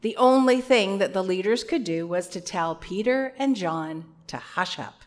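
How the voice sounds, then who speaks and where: Loud-voiced woman preaching a sermon. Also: medium pitch, animated, a little slow. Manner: earnest, conversational preaching with asides.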